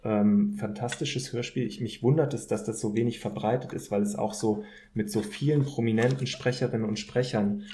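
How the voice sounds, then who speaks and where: A man's voice: mid-range voice, steady tone, normal speed, hardly any pauses, dark tone. A man answering interview questions conversationally as a podcast guest, in thoughtful, hesitant, academic phrasing. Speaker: podcast guest, political scientist.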